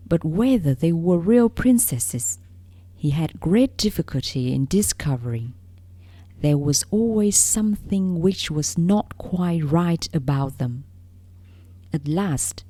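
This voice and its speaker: A woman narrates a storybook in a slow, measured read-along style. Her voice sits a little low and has a soft, mellow tone. She phrases each piece carefully, pausing often. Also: no room sound. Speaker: storyteller reading a fairy tale aloud for English learners.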